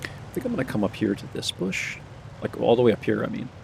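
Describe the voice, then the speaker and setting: A low-voiced man with a gravelly, fry-heavy voice talks casually over his gameplay, rambling and tossing in "man" often. His voice sounds a bit hoarse and cracks at times. Faint engine rumble sits behind him. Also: very animated, fast talker. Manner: laid-back, rambling, says "man" a lot. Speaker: gameplay commentator.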